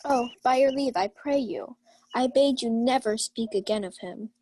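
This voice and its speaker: A woman's voice: a little high, moderate pace, darkish tone, a little hiss or hum, close to an everyday voice. A woman playing a proud, lovestruck young lady of the house in a Shakespeare radio play, formal and declamatory. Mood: haughty. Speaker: student actor.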